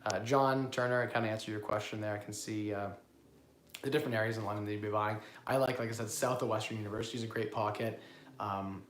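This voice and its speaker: Hoarse man, live-stream host, rambling, echoey room, phone mic. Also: fairly low pitch, fairly even intonation, fast talker, a bit bright, slight background noise. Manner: rambling, self-correcting, repeats words. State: hoarse from a cold. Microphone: phone built-in mic, no lapel.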